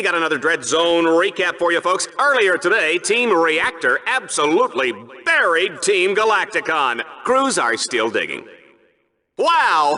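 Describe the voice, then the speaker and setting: A man playing a brash, excitable, flippant sports announcer for a video game combat show, with a showy, punchy delivery. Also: high voice, full character voice. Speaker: arena combat show commentator. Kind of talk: over-the-top sports commentary.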